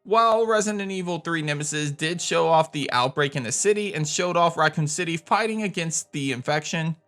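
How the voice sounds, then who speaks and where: Bright, punchy male countdown host. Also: fairly high pitch. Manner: opinionated, conversational. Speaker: host of a gaming video channel. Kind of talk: video essay countdown narration. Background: background music.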